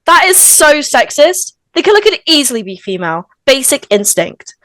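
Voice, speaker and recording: A woman acts out a feisty high-school girl from a slasher story, blunt and sassy. Her voice is high and swings around animatedly, with a dry, close sound. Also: big pitch swings, normal speed, fairly bright tone, no room sound. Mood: indignant. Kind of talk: live table read of a slasher film script.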